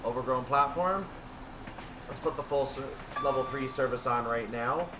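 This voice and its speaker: Guy doing chill step by step sim game commentary, bit far from the mic, loud droning noise behind him. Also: mid-range voice, normal speed. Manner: methodical, narrates each action step by step. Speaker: gaming video host. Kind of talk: casual live train simulator commentary. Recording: distant mic with loud steady background noise.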